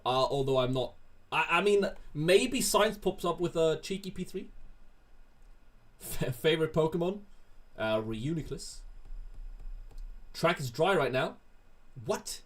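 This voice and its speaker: Casual man hosting a livestream. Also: on the high side. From Sweden. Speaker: livestream host answering chat questions. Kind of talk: casual livestream chat, reading and answering viewer questions.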